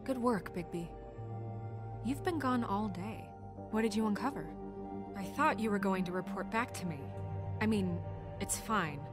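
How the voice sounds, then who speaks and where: Woman playing brisk, authoritative office boss, music behind. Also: medium pitch, lightly acted. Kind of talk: video game character acting.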